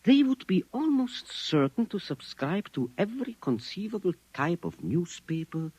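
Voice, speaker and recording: A man's voice: high voice, sing-song, normal speed, darkish tone, husky, dry recording, exaggerated voice, low-quality recording. An actor in a 1950s radio drama playing an earnest, formal man with a pedantic, emphatic way of speaking. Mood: confident, insistent. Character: earnest, precise, formal man convinced Martians live among us.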